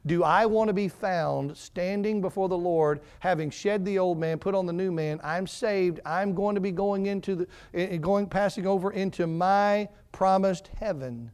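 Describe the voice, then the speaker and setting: A man in his forties preaching a fervent sermon as pastor, building on repeated phrases and swinging between quiet and loud passages. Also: high-pitched, moderate pace, few pauses, clean tone. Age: 40s.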